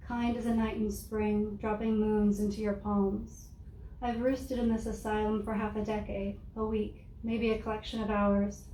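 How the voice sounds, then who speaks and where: A soft-spoken woman reading her own poems aloud in a measured, deliberate way to a live audience. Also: mid-range voice. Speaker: poet reading her work. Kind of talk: poetry reading. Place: room with an audience. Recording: roomy, distant mic with faint noise.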